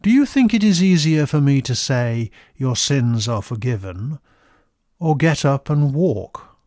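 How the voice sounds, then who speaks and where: A man voicing a calm, authoritative religious teacher and healer in a storybook reading, deliberate and commanding. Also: medium pitch, dry recording, studio quality, close to an everyday voice. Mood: firm, challenging.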